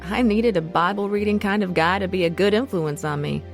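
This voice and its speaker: A woman's voice: medium pitch, a little fast, gravelly, soft background music, close to an everyday voice. An actress playing a troubled middle-aged mother who uses drugs, hesitant and confiding, in a radio drama. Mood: reflective narration. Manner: hesitant, confessional. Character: troubled drug-using mother, lonely, wavering, confiding. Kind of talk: radio drama dialogue and first-person narration.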